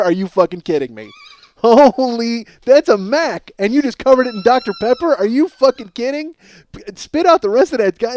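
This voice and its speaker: A man hosting a comedy podcast in a casual, rambling, profane and excitable ranting monologue. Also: extremely high pitch, no room sound. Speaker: podcast host.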